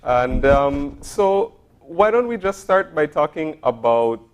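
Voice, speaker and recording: A man's voice: on the high side, sing-song, slow. A man hosting a morning TV show, interviewing a guest in a friendly way with many fillers. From Belize.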